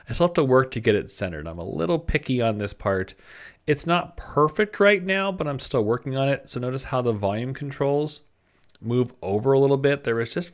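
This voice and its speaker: A man talks through a screencast tutorial in a mid-pitched voice. His delivery is conversational and informal, like someone thinking aloud while he works at his computer. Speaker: presenter of web design tutorials. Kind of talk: casual screencast tutorial narration. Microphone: desktop microphone at a computer.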